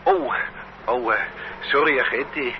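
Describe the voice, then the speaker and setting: Brief: an actor doing a defensive, stammering young man, mid-pitched, dull radio sound. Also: a little slow, lightly acted. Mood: protesting. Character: curious, protesting young man with no money. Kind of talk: radio play acting. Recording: dull old radio recording, faint hum.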